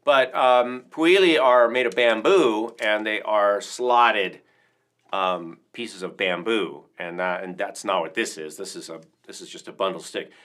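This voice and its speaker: A man's voice: lowish voice, unhurried, fairly bright tone. A man hosting a casual livestream as a percussion teacher, talking through instruments in an informal, rambling, enthusiastic way.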